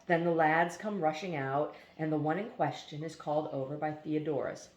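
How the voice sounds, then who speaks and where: A woman reading a philosophy essay aloud in a deliberate, emphatic way, now and then stumbling on foreign names. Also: low-pitched, fairly even intonation, darkish tone. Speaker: reader. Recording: echoey room.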